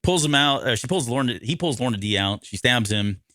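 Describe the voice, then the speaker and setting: A man's voice: mid-range voice, animated, brisk, sharp tone, no room sound, clean studio sound. An American man from the Midwest co-hosting a podcast, recapping a story in a casual, informal way with asides. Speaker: podcast co-host.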